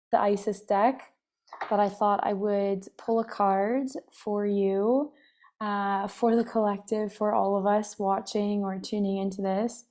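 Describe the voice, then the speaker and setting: A woman with a slightly scratchy voice and a cough, hosting a spiritual meditation talk in a soft, meandering way with many fillers. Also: medium pitch. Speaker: wellness meditation host.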